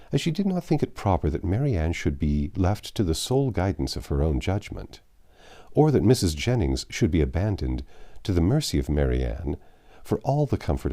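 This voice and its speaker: Deep-voiced man reading a classic novel. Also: mellow. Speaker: narrator.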